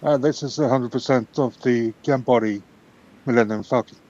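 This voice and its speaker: A man's voice: medium pitch, moderate pace, darkish tone. A man from Norway with a Norwegian accent, joking around in a casual chat as a podcast guest. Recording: muffled lo-fi call audio.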